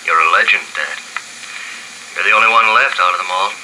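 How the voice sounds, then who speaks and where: A man voicing a patient, reverent grown son who talks in soft, reassuring replies. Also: low voice, a little fast, slight background noise, rough recording. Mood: tender. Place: basement.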